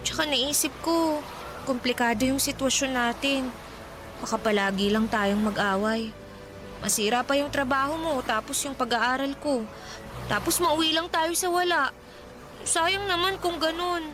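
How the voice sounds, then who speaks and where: Casting: shy, sensible young girl, high voice, earnest and hesitant, radio romance over music. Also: a little slow. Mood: sad, resolved. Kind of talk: Filipino radio drama acting.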